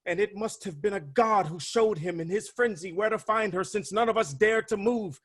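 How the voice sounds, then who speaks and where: Man as grim messenger, grave, theatrical tragedy reading, husky, fairly high voice, video call. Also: normal speed, a bit bright. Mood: grieving. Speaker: actor in a dramatic reading. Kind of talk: staged reading of a Greek tragedy.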